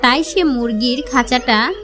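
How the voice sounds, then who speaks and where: A woman's voice: high voice, lively intonation, measured pace, a bit bright, soft background music. A woman storyteller narrating a scripted fable for children.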